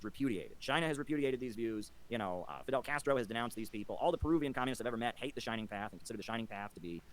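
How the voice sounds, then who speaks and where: A man's voice: mid-range voice, lively intonation, very fast, low-quality recording. A man in a played clip, arguing in a combative, lecturing way and dismissing his opponent. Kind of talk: argumentative monologue.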